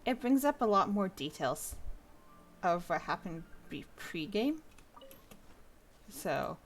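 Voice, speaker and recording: Animated woman streamer with creaky voice. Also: mid-range voice, slow pace, a little hiss or hum. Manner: rambling, thinking aloud. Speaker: game streamer. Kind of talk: casual live gameplay commentary.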